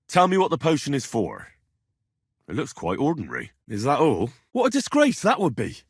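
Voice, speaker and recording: An actor playing an earnest, blunt young medieval squire in a video game, plain-spoken and a little husky. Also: male voice, medium pitch, no room sound, studio quality. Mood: pressing. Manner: plain-spoken, polite to nobles, bluntly challenging when provoked. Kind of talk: video game dialogue acting.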